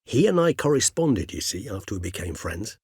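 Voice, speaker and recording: Game role: elderly vampire gentleman-scholar. Measured, bookish, gently weary; a touch husky, recorded close and dry. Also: on the low side, big pitch swings, no echo, exaggerated voice. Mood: grave, reflective. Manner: measured, courtly, bookish phrasing with thoughtful hums. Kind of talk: video game character dialogue.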